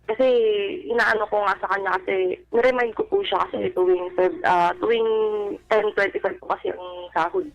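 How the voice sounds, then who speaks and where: Woman caller, creaky voice on dull line. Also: mid-range voice, expressive. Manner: halting, polite, uses respectful "po" often. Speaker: caller telling their story to a radio advice show. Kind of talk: personal storytelling with reported dialogue. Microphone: telephone. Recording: dull, band-limited radio phone call.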